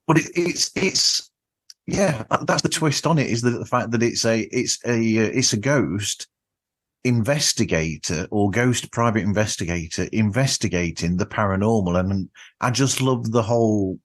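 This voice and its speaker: A man hosting a podcast, chatting casually and effusively with halting phrasing. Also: fairly low pitch. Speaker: podcast host. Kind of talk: casual interview.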